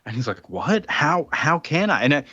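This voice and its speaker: A man's voice: fairly high pitch, sing-song, normal speed, pushed and bright, slightly rough, no room sound. Guy rambling thru a comic recap, lots of ums, dry kinda dull mic. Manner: rambling, many ums and restarts, acts out quotes. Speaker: podcast co-host. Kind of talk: casual comic book recap.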